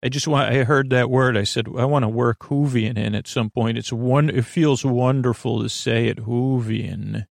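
Rambling, creaky-voiced man hosting a sleep podcast. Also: mid-range voice, fairly even intonation, dry recording, studio quality. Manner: meandering, halting, repeats and trails off mid-thought. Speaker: podcast host.

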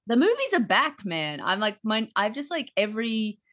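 A woman's voice: fairly high pitch, sing-song, moderate pace, a bit bright. A woman chatting casually as a podcast guest, often laughing mid-sentence and adding self-deprecating asides. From Australia. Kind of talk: casual chat.

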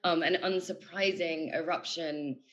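A woman's voice: sits low, fairly even intonation, unhurried, dull audio. A woman in a reflective, unscripted discussion as a guest analyst, feeling her way through intellectual phrasing with hesitations. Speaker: interview guest giving political analysis. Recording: music playing behind the voice.

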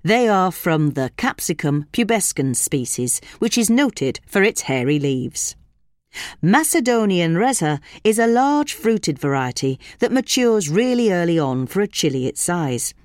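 A woman reading a scripted gardening article aloud in a measured, informative way. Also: on the low side, sing-song, darkish tone, dry recording, studio quality. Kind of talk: scripted narration, read aloud.

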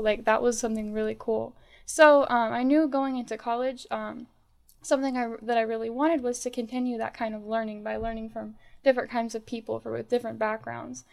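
Young woman guest, vocal fry, a bit high, chatty with lots of likes and ums. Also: a little mellow. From Northern Virginia, United States. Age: young adult. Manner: chatty, laughs often, many fillers. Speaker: student guest. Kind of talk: casual interview chat.

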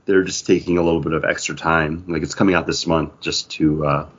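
A man co-hosting a podcast, chatting in a relaxed way and sharing news items. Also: rumbling low, brisk, husky. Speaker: podcast co-host. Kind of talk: casual chat. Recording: dull lo-fi sound.

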